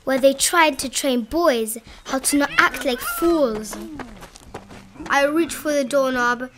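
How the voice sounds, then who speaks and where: A woman reading a children's storybook aloud in an expressive, dramatizing manner. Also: high voice, slow, noisy background. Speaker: read-aloud narrator of children's picture books.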